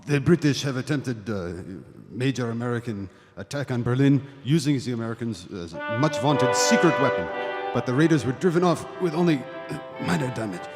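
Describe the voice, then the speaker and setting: An actor playing a German wartime propaganda broadcaster in a halting, pompous speech for a live audio drama. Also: male voice, medium pitch, expressive, close to an everyday voice. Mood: pompous, faltering. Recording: echoey hall, live stage recording, music behind.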